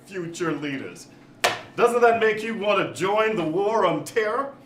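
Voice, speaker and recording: Man acting belligerent werewolf minister, highish animated voice, comic stage reading, echoey. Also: a little slow. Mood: scornful. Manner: mocking, belligerent. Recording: distant, echoey live room with faint noise.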